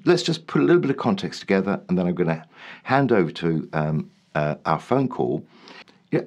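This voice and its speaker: A man hosting his podcast in a rambling, chatty way, his voice throaty and slightly raspy today. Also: low voice, darkish tone. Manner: rambling, lots of ums and restarts. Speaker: podcast host. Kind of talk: casual solo podcast monologue.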